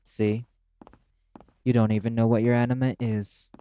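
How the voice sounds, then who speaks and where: A man's voice: medium pitch, little pitch movement, normal speed, mellow, clean tone, close to an everyday voice. A man playing a brash, proud demon in an audio roleplay, terse, scornful and commanding in manner. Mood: impatient, scornful. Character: brash, proud, curt demon, prickly and guarded.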